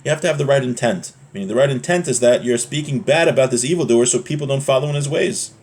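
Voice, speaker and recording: A man's voice: medium pitch, quick pace, a little mellow. A man giving an informal religious lesson as a teacher, explaining texts in a conversational way and checking in with his listeners.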